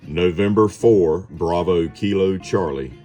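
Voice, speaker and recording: A man's voice: low-pitched, slow, warm tone, soft background music. An American man hosting a casual amateur radio vlog, talking through his contacts and giving call signs.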